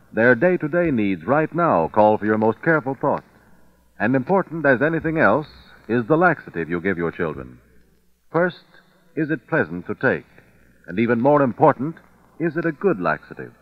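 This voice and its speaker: A dry, slightly muffled vintage radio recording of a male commercial announcer. He speaks in a coaxing, scripted pitch straight to the listener, with lively swings of intonation. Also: no room sound. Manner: coaxing, direct address.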